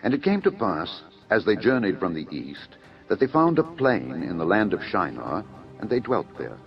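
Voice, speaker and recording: A man with a deep, low voice narrates scripture as for an audio Bible. His delivery is solemn, formal and measured, like a declamation. The sound is slightly dull and lo-fi, with music playing faintly behind him. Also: huge pitch swings.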